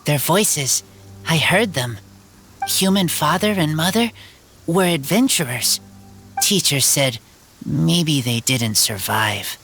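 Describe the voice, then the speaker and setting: Game role: earnest feral teenage boy, unhurried and halting, music underneath. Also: on the high side, expressive, creaky, a bit bright, full character voice. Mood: wistful, sincere. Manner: halting, simple broken sentences. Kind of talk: video game character voice acting.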